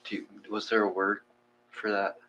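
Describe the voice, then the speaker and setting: A man in a casual, reflective discussion, thinking aloud in a halting way and trailing off. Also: on the low side, monotone, pushed and bright. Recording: muffled, with faint hum.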